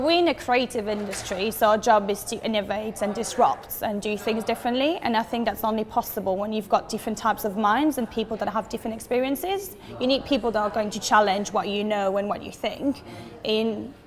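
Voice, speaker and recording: A young woman from Switzerland in her twenties gives interview answers with earnest enthusiasm. Her voice sits at a middle pitch. It trails a long reverb, as if recorded in a big room. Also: fairly even intonation, fairly quick. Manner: earnest and enthusiastic, emphatic with "so" and "literally". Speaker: interviewee, advertising professional. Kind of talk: talking-head interview answers.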